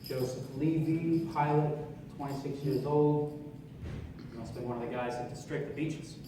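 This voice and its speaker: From far off in a very echoey, noisy hall comes a hearty American army sergeant acted by a man. He tells his war story in a theatrical, projected voice, pitched a little high, as in a live radio play. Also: fairly even intonation, moderate pace, close to an everyday voice. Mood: solemn. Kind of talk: live stage radio drama, dramatic narration and dialogue. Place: live on stage in an opera house.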